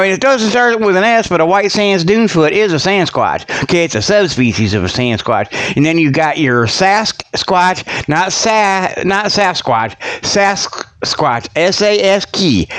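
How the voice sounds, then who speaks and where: A man's voice: high voice, fairly bright tone, clean tone. A man hosting a radio show with a rambling, folksy comedic monologue, peppered with filler phrases and digressions. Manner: folksy, digressive, peppered with "okay" and "you know"; chuckles at own jokes.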